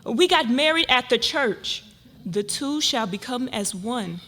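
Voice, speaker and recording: A woman's voice: on the high side, lively intonation, a little slow, pushed and bright, exaggerated voice. A woman playing a shocked, distraught wife and mother in a dramatic reading, exclaiming and pleading in disbelief. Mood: hurt, indignant.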